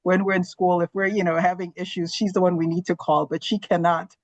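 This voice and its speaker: A woman's voice: on the low side, flat delivery, fairly quick, dry recording, dull audio. A woman in her forties from Zambia, an earnest and reflective interview guest who talks with many fillers. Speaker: guest, gastroenterologist. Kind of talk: casual interview talk.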